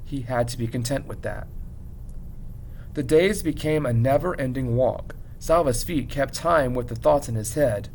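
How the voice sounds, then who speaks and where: A man reading a story aloud as a teacher would, in an expressive, measured narration with dramatic pauses. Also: medium pitch, a little hiss or hum, rough recording.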